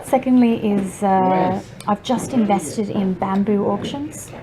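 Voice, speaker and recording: A woman's voice: medium pitch, slow. A woman guest in a casual interview, talking upbeat and keen about her property ventures. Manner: upbeat, enthusiastic. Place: members' club room. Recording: crowd chatter behind.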